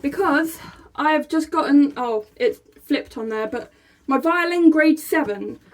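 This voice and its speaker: A young woman talks straight to her camera in a small room, her voice sitting high. She is chatty and excitable and laughs between thoughts, as in a casual vlog. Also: a little slow. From England. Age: young adult. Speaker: vlogger. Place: small room by a rainy window, indoors.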